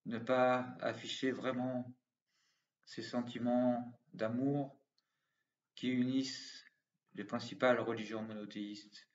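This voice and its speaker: A man's voice: medium pitch, level tone, slow. A man making an earnest, impassioned appeal straight to viewers, repeating slogans. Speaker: commentator. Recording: roomy, distant, dull sound.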